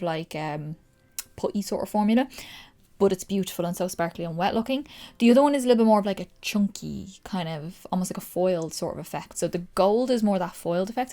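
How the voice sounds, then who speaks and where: A woman's voice: medium pitch, darkish tone. Chatty Irish woman vlogger. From Ireland. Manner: casual, gushing, explains as she goes. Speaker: makeup vlogger. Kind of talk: chatty makeup tutorial.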